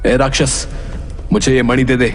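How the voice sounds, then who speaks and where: A man voicing a brave, determined young hero in an animated fairy tale, speaking in an earnest, dramatic way. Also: medium pitch, normal speed, over music, natural voice. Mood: defiant.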